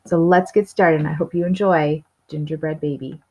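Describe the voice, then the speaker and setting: A woman's voice: low voice, steady tone, normal speed, dark tone. A woman reading a children's storybook aloud expressively, with little asides to the listeners. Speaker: storybook reader. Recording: slightly dull, lo-fi sound.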